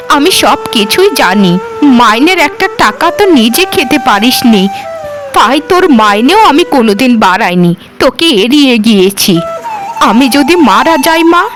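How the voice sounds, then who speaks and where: A woman acts out a motherly elderly mistress in a cartoon. Her voice is gentle and doting, with expressive rises and falls. She speaks tenderly, like family. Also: high voice. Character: kindly, motherly elderly lady of the house. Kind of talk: cartoon voice acting.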